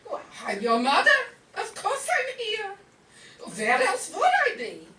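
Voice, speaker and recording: A woman playing a loud, meddling, doting grandmother in a comic radio play, exclaiming and guilt-tripping. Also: very high-pitched, huge pitch swings, a little slow, heavily acted. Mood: wounded, sarcastic. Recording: roomy, distant live stage recording, noisy.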